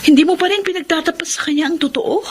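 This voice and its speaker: A woman's voice: very high-pitched, fast talker, slight background noise. A woman playing a frank, concerned friend and confidante in a radio drama.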